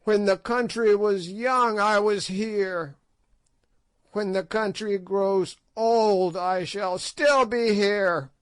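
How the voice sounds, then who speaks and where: A man reading aloud and voicing a spiteful, ancient witch woman in shrill, cackling tones. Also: fairly even intonation, slow pace, smooth, dry recording. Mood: raving.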